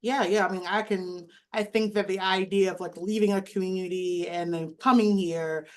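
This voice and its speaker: A woman's voice: mid-range voice, fairly even intonation, normal speed. A woman moderating a panel discussion in a loose, conversational way with plenty of fillers.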